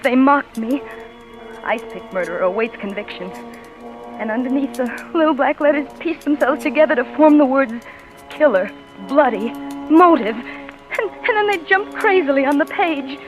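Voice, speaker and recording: A frightened young wife, stammering and breathless as she narrates. Her voice sits high. It sounds like an old radio broadcast with faint music underneath. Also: expressive, normal speed, low-quality recording. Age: young adult. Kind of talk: 1940s radio acting.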